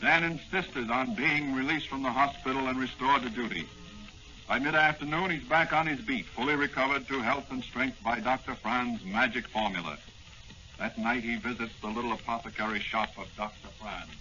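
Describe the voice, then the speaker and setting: Bright, forceful old-time radio narrator, male. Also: medium pitch, normal speed, muffled recording. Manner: urgent, dramatic. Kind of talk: 1940s radio narration, dramatic and scripted. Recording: band-limited old-time radio with faint noise.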